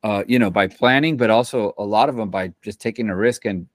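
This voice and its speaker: A man's voice: on the low side, brisk, no echo, studio quality. A man who composes for film and television, talking in a loose, rambling way, full of slang and restarts, in a casual interview. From Central America.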